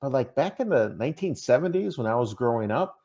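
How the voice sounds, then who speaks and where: A man's voice: mid-range voice, expressive, fairly quick, mellow. A man with a Minnesotan accent, a podcast guest talking casually and hesitantly, with many fillers and restarts. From Minnesota, USA. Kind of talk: casual interview talk.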